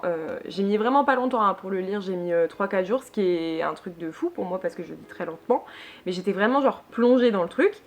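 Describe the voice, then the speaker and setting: Chatty young woman reviewing a book. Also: mid-range voice. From Lille, northern France. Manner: chatty, many fillers and restarts. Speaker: book vlogger. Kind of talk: casual book review monologue.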